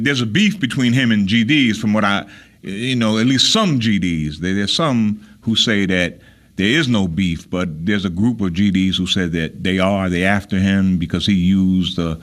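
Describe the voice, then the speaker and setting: An American man hosting a talk radio show, speaking casually and haltingly, often repeating words as he searches for the next one. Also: lowish voice, fairly bright tone. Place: radio studio.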